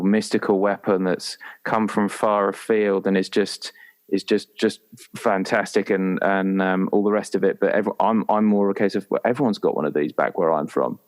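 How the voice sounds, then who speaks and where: A man chatting casually and playfully as a tabletop roleplaying player, enthusiastic and prone to ramble. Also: on the low side. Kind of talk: casual chat about a game character.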